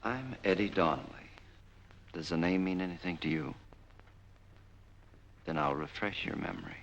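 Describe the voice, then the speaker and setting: A man's voice: low voice, moderate pace, dull audio, lightly acted. An actor playing a cold, commanding American man bent on revenge in a 1940s film noir. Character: vengeful, menacing man hunting his father's betrayer. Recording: noisy 1940s film soundtrack.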